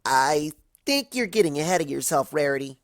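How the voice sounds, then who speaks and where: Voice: mid-range voice, animated, brisk, no room sound, studio quality, pitched above the performer's own voice, natural voice. The storyteller voicing a bashful young cartoon pony mare, hesitant and embarrassed as she plays things down.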